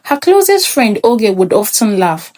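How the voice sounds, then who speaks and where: Expressive woman storyteller with measured delivery. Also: medium pitch. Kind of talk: scripted folktale narration.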